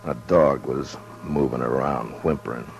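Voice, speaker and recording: Very deep-voiced American western lawman. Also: normal speed, gravelly, exaggerated voice. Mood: grim, measured. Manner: terse, authoritative. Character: commanding, steady western lawman who narrates his own story. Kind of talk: old-time radio western acting and narration. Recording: old radio drama with music behind.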